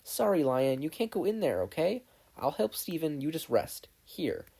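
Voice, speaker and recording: Young warrior woman for audio fan fiction, acted by a narrator: mellow, firm, reassuring, fiercely protective. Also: medium pitch, sing-song, moderate pace, vocal fry, breathy edge, pitched above the performer's own voice, full character voice.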